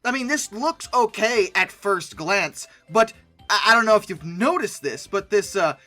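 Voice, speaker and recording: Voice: mid-range voice, lively intonation, a little slow, sharp tone. A commentator giving humorous, ranting reaction commentary in a theatrical, exasperated, sardonic way, repeating phrases for emphasis. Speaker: host of a paleoart commentary video. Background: background music.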